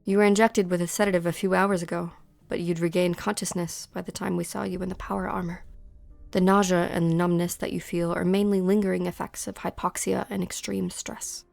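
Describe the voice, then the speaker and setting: A woman playing a calm, guarded fighter who tends wounds, measured and analytical, in a fan-dubbed game drama. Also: on the low side, vocal fry, no room sound, soft background music. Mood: clinical, composed. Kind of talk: scripted fan-dub game drama acting.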